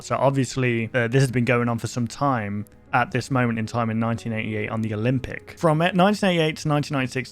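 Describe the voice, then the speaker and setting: Rambling man thinking aloud. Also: mid-range voice. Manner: thinks aloud, rambling with many fillers and restarts. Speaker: host reacting to videos. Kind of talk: casual reaction commentary.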